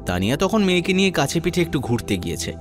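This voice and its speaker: A man narrating a first-person audio story and acting its dialogue, in a measured, earnest way. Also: on the high side, very animated. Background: new-age music.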